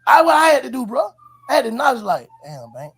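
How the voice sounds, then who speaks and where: A man's voice: very high voice, sing-song, fast, a bit bright, husky. A man giving heartfelt, slangy advice as an interviewer, emphatic and repeating his points. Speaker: podcast host interviewing a guest. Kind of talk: heartfelt advice in casual street talk.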